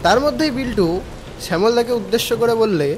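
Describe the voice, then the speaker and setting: A man's voice: very high-pitched, animated, fairly quick, slight background noise. A man with a West Bengal accent playing a young tea lover who narrates a cartoon ghost story in the first person. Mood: engaged, curious. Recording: rain and thunder sounds behind.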